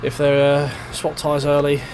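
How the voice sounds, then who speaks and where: A man's voice: fairly high pitch, monotone, a little slow, darkish tone, slight background noise, rough recording. A man streaming a racing game, chatting casually to himself and his chat while driving, sounding congested and blocked up in the nose. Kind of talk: live gameplay commentary. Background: game music and car engine sounds.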